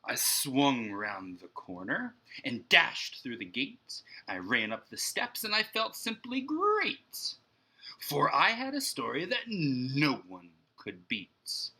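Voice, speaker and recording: Man reading as an excitable storybook boy, emphatic and bright. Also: medium pitch, slightly rough, pitched above the performer's own voice, heavily acted, poor audio. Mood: excited. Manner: emphatic, measured verse delivery. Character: excitable storybook boy telling his tale. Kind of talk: expressive rhyming picture-book read-aloud.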